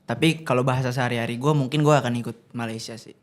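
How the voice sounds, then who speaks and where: A man, a podcast guest telling stories in casual chat, animated and laughing often. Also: mid-range voice, fast talker.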